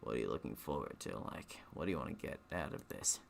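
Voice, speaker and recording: A man's voice: very deep voice, sing-song, fast talker, creaky, a little hiss or hum, voice pushed down, heavily acted. A man voicing a casual, breezy young male adventurer in a block-building video game. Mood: casual, musing.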